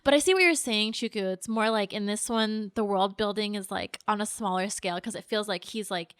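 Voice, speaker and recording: A woman's voice: mid-range voice, a little fast, a bit bright, clean tone. A woman with a mid-range voice chats casually as a podcast co-host. The sound is dry, with no room echo. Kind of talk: casual chat.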